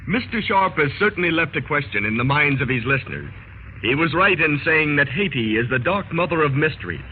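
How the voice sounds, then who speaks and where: Dramatic 1930s radio serial announcer, formal, highish voice, dull old recording. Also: male voice, big pitch swings, brisk, slight background noise. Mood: grandly mysterious. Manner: formal, theatrical.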